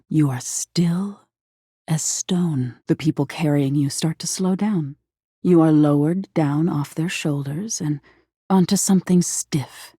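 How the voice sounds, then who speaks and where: A woman voicing an eerie, thoughtful nightmare spirit in a video game, measured and breathy, often slipping toward a whisper. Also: sits low, warm tone, no room sound, clean studio sound, heavily acted. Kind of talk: scripted game character acting, intimate second-person storytelling.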